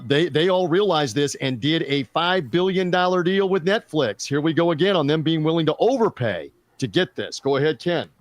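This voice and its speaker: A man co-hosting a sports media podcast, chatting briskly and tossing questions to his guest. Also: fairly high pitch, no echo. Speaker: podcast co-host.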